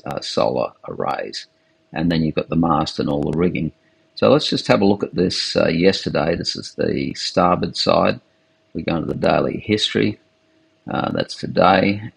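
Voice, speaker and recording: Gravelly man explaining solar gear. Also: low voice. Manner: informal, explanatory, many fillers and self-corrections. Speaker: solar installer demonstrating a boat system. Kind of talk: casual technical walkthrough. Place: on a sailing catamaran, outdoors and in lockers, later voice-over on screen recording.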